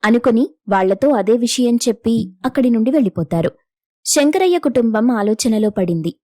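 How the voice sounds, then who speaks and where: A woman's voice: on the high side, expressive, normal speed, dark tone, no room sound, clean studio sound. A woman narrating a scripted moral story as the storyteller.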